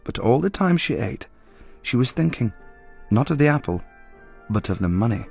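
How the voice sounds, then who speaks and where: A man reading a story aloud in a measured, descriptive narrating style. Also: medium pitch, very animated, gravelly, darkish tone, breathy edge, soft background music.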